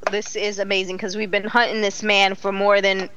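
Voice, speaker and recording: A middle-aged woman hosting a casual online talk show, animated and exclaiming, laughing often. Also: medium pitch, normal speed, dull audio, a little hiss or hum. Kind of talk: casual live-stream chat.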